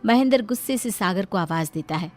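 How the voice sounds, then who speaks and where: A woman's voice: mid-range voice, expressive, brisk, darkish tone. A woman narrating a scripted dramatic moral story.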